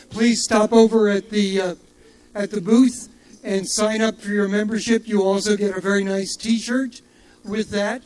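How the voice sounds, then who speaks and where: A man's voice: high voice, darkish tone, poor audio. An older man emceeing from an outdoor festival stage, giving casual, rambling announcements with many fillers and jokes at his own expense.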